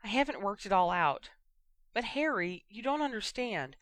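A woman's voice: medium pitch, sing-song, normal speed, a bit bright, no echo, voice pushed up. A woman voicing an upset young woman who pleads emotionally and defensively. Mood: distraught.

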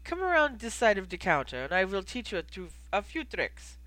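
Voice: mid-range voice, big pitch swings, fairly quick, pushed and bright, dry recording, voice pushed up, low-quality recording. A reader voicing an ageing, patient male innkeeper from abroad who is cheerful and instructive in a story. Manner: encouraging, instructive, formal phrasing.

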